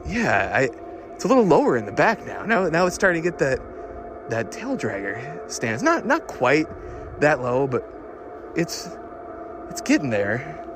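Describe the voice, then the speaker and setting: An actor playing an obsessed, increasingly unhinged car tinkerer recording a rambling, halting audio diary for an audio drama. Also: male voice, a little high, wildly swooping pitch, noisy background. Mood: eager, fretful. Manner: halting, nervous, repeating himself, muttering fixations. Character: obsessed man secretly lowering his car, increasingly unhinged.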